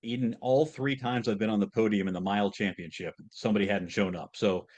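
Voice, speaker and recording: A man's voice: fairly low pitch, fairly even intonation, a little fast, a little mellow, lo-fi sound. A man hosting a podcast interview, asking long, hesitant questions full of fillers and restarts, and laughing easily. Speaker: podcast host.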